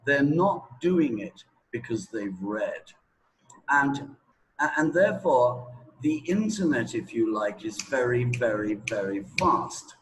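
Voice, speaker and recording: Slow-paced man explaining, emphatic. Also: mid-range voice, fairly bright tone, poor audio. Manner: emphatic, repeats words for stress. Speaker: teacher being interviewed. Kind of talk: explanatory interview answers.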